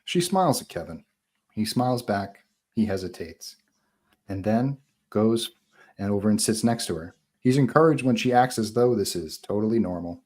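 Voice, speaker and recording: A man with a fairly low voice narrates a screenplay at a table read. He reads the action in an informal, animated style. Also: normal speed. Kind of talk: reading screenplay action and dialogue aloud.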